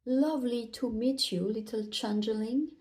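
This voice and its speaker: A woman's voice: a little high, normal speed, a little mellow, natural voice. Woman as a regal, patronising fairy queen. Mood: condescending. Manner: gracious but patronising. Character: regal, teasing, condescending female fairy queen.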